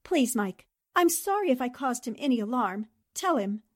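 An actress playing a spirited, indignant newspaper columnist in a theatrical 1940s radio-drama style. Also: female voice, fairly high pitch, moderate pace. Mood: anxious. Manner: expressive, theatrical. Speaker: actor in an audio drama.

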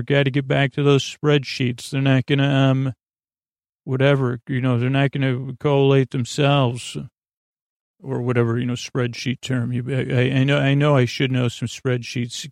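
A man hosting a sleep podcast, rambling in a drowsy, meandering way and gently reassuring his listeners. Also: mid-range voice, gravelly, dry recording, studio quality. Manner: meandering, drowsy, self-interrupting.

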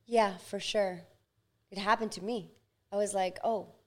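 A woman in her thirties, a podcast guest, speaking reflectively and at times slowly as she thinks aloud. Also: medium pitch, fairly even intonation. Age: 30s. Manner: reflective, slow and thoughtful at times. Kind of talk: casual interview chat.

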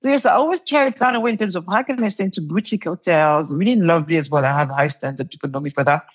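Husky-voiced woman telling lively stories. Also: fairly low pitch, rapid-fire. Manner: animated storytelling, laughs often, repeats words. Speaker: entrepreneur guest. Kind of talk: conversational interview.

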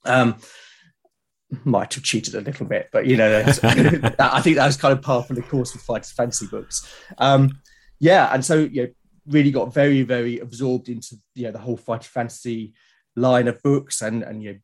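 British man in his forties, mid-pitched voice. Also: steady tone, moderate pace. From United Kingdom. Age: 40s. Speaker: podcast guest, illustrator. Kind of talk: casual interview chat.

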